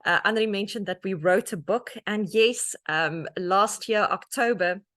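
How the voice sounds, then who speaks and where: A woman's voice: medium pitch, moderate pace, dry recording, clean studio sound. A middle-aged South African woman with an Afrikaans accent, Afrikaans being her first language, giving a personal faith testimony to an audience in an earnest, conversational way. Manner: earnest and conversational, many restarts and "um"s, animated when telling stories. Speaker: guest speaker, author.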